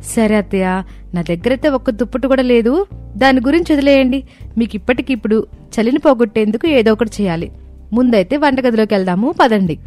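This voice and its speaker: A woman's voice: fairly high pitch, fairly quick, darkish tone, soft background music, close to an everyday voice. A woman voicing a young, practical daughter-in-law in a cartoon story, with an emphatic manner. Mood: concerned.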